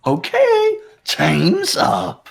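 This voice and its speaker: A man's voice: sits high, huge pitch swings, very slow, gravelly, crowd noise, pitched above the performer's own voice, exaggerated voice. A man voicing a gleefully sadistic game mascot villain with a theatrical, taunting, sing-song delivery. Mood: mocking. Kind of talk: hobby voiced game playthrough.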